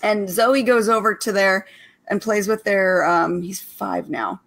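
A woman's voice: medium pitch, measured pace, vocal fry. A woman co-hosting a casual online chat, telling stories in a chatty way. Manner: chatty storyteller. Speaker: podcast co-host.

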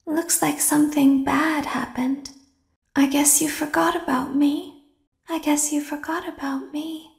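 A woman voicing an eerie robot clown girl in a game, speaking quietly and slyly. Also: sits high, fairly even intonation, unhurried, gravelly, echoey room.

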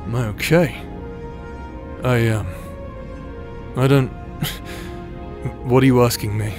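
A man's voice: mid-range voice, steady tone, slow pace, music in the background. A man playing a cocky, foul-mouthed young rogue in a fantasy audio drama, with a casual, sardonic way of talking. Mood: evasive, awkward. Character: cocky, foul-mouthed, teasing brother hiding vulnerability. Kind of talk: anime fantasy audio roleplay acting.

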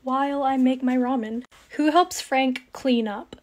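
A woman's voice: high-pitched, a little slow, a little mellow. A young woman reacting casually and playfully, with dramatic exclamations, in a home kitchen. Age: young adult. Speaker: content creator. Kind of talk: casual reacting to a character filter game.

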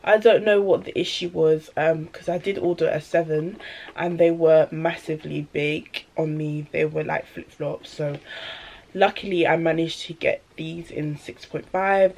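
A woman, chatting casually in a product review vlog, a little rambling and full of fillers, her voice slightly deepened by a cold. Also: fairly even intonation, normal speed, creaky, a little mellow. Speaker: vlogger. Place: small bedroom indoors.

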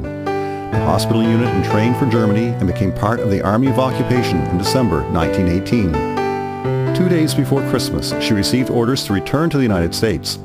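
A man narrates a historical drama in a formal, measured way. His voice is fairly low, and prominent guitar music plays behind him. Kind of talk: scripted documentary drama narration.

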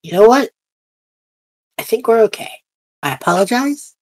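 Man doing a high-pitched, slyly polite wizard. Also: lively intonation, moderate pace. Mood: breezy, evasive. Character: nimble male wizard, slyly polite, feigning innocence.

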